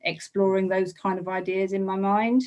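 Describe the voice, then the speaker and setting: A woman with a mid-pitched voice speaks as an illustrator in a relaxed interview. She thinks aloud as she goes, hesitating and circling back over phrases in a conversational way. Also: normal speed, dull audio. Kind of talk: casual conversational interview about own work. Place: online video call.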